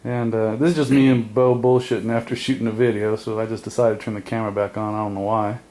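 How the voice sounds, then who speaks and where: A man behind the camera casually chatting and prompting his friend for a story, relaxed and easygoing. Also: fairly low pitch, fast, rough recording. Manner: relaxed, prompting, laughs easily. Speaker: video host behind the camera. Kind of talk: casual chat.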